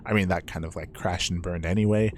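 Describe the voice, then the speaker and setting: A man with a hoarse, raspy voice, an esports caster chatting casually as he signs off a stream, rambling and repeating himself. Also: low voice, fairly even intonation, fast, a little hiss or hum. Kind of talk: casual stream sign-off chat.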